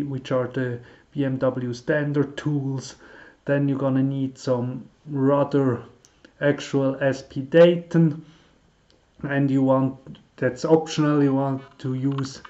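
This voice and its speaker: Man, mid-pitched, English, informal how-to host with lots of ums, on a dull lo-fi recording. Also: darkish tone. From Switzerland. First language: German. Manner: halting and informal, many fillers and restarts, non-native phrasing. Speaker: YouTube car tutorial host. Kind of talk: casual tutorial talk to camera.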